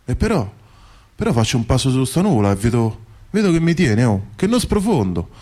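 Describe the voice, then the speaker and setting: A man's voice: medium pitch, big pitch swings, a little fast, dark tone. A humble bricklayer played by a male actor tells his dream in a radio play. He speaks in salty, colloquial phrases, repeating words with earthy wonder. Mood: wondering, chatty. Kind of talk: theatrical radio monologue, colloquial storytelling.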